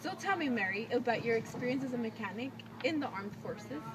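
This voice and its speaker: A woman acting as a polite wartime field reporter of the 1940s, putting scripted questions to her guests. Her voice is fairly high and distant from the mic, with clear noise. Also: lively intonation, a little fast, close to an everyday voice. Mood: polite, inquisitive.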